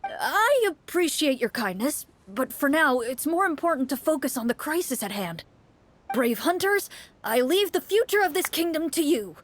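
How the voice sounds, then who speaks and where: High-voiced, earnest young townsperson. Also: female voice, very animated, normal speed, slight background noise. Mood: hopeful. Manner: earnest, polite, hesitant storytelling. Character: earnest, worried young city dweller pleading for help. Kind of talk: video game voice acting.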